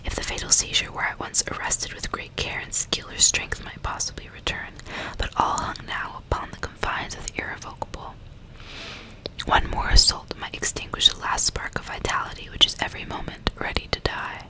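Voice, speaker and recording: A woman reading a gothic novel aloud as ASMR in a soft, breathy, unvoiced whisper, slow and deliberate, close to the microphone. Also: low-quality recording.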